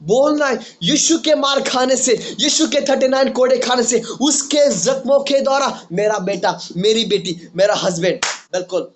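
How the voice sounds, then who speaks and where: A man with a notably high voice preaches a fervent sermon. He punctuates his points with repeated hallelujahs and mixes English phrases into his delivery. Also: moderate pace, a little hiss or hum. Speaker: pastor.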